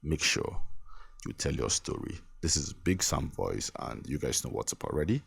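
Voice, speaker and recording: A man's voice: very deep voice, big pitch swings, normal speed, vocal fry, a bit bright, husky, dry recording. A young Nigerian man hosting his own podcast, giving an earnest motivational talk that repeats its points and breaks into small laughs. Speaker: podcast host. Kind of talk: motivational monologue.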